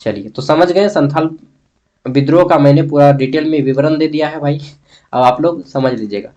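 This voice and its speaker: A man's voice: a little high, moderate pace, warm tone, highs cut off. A man with a Jharkhand Hindi accent giving an informal exam-prep lesson as a teacher, conversational, repeating key terms and answering his own questions. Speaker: history teacher.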